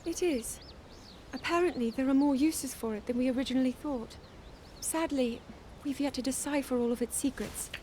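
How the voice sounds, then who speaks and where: A woman playing an earnest, gentle, studious young princess in a video game. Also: high voice, normal speed, slight background noise, close to an everyday voice. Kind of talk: video game cutscene acting.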